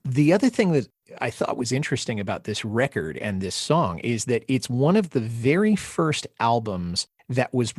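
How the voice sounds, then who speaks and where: A man's voice: lowish voice, darkish tone, dry recording, clean studio sound. A man hosting a podcast, talking conversationally and in detail about music. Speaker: podcast host.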